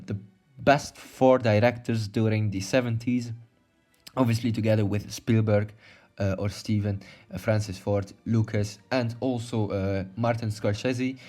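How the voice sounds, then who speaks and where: A man's voice: medium pitch, a little mellow. A young man with a Belgian accent rambling through a casual video commentary, full of fillers and restarts. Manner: rambling, many fillers and restarts, laughs often. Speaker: YouTube commentator. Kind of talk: casual video commentary partly read from notes.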